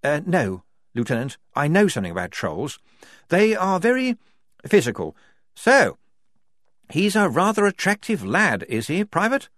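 A man voicing a brisk, reasonable army major who speaks politely and persuasively in an audiobook. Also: on the high side, huge pitch swings, a bit bright, no room sound, studio quality, voice pushed up, heavily acted. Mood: probing. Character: crisp, reasonable male army major, pragmatic legal officer.

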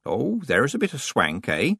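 A man voicing a brash, cheerful old country witch in an audiobook, earthy, folksy and quick to scold. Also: mid-range voice, wildly swooping pitch, creaky, a bit bright, slightly rough, no echo, clean studio sound, voice pushed up, heavily acted. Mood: teasing. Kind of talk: audiobook character voice.

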